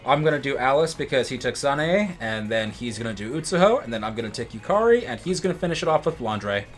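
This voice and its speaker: A man's voice: mid-range voice, very animated, quick pace. A man from the United States co-hosting a let's play in casual, rambling chat that often restarts its phrases. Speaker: let's play co-host and gamer. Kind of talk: casual gaming chat and commentary.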